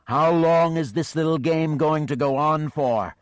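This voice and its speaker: A man's voice: on the high side, unhurried, smooth, no echo, studio quality, pitched above the performer's own voice, lightly acted. Man voicing a mock-polite menacing dragon. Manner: courteous yet threatening, toll-demanding.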